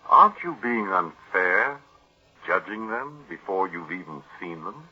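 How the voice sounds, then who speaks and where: An actor playing an earnest, formal family doctor in a 1940s radio drama, deferential but pleading. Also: male voice, low-pitched, big pitch swings, a little slow, bright, forceful tone, husky, exaggerated voice. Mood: polite, curious. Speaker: physician. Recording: muffled vintage radio drama recording.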